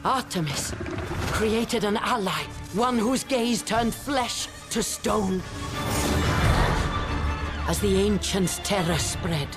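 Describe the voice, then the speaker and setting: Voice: medium pitch, slow pace, pauses often, lightly acted. An actor playing a grave, scholarly enchanter in a fantasy game, speaking in formal, theatrical, archaic phrases. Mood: ominous, storytelling. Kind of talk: dramatic scripted fantasy game dialogue and narration. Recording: music behind the voice.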